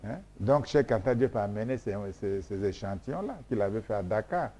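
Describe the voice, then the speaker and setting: A man's voice: on the low side, expressive, moderate pace, mellow. A man whose first language is Mboshi, from Congo, explaining his research in an interview in an emphatic, repetitive, lecturing way with frequent hesitations. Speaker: scholar being interviewed.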